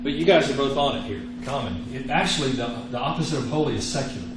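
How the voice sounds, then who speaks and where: A man with Italian as his first language lectures to students on faith. He speaks earnestly and emphatically, pausing to quiz them. His voice carries the echo of the classroom, with clear noise behind it. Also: mid-range voice, expressive. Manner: earnest, emphatic, quizzing listeners with rhetorical questions. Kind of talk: interactive religious lecture. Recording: roomy, echoey classroom with clear background noise.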